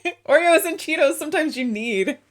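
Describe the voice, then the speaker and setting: A woman hosting a casual interview, relaxed and easygoing with casual slang. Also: sits high, fairly quick. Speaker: interviewer, host. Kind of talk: casual conversation.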